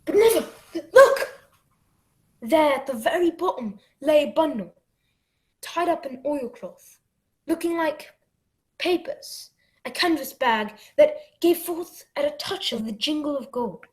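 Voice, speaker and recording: A woman playing an earnest, excitable young boy who acts and narrates his adventure in a radio play. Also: high voice, low-quality recording. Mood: alarmed, then hushed wonder. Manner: dramatic, deliberate storytelling.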